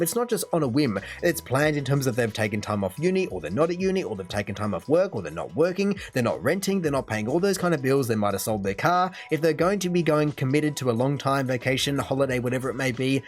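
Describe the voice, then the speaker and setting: A young adult man with an Australian accent, chatting casually and thoughtfully as he comments on a video. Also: mid-range voice. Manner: chatty, measured, hedging. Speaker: reaction video host.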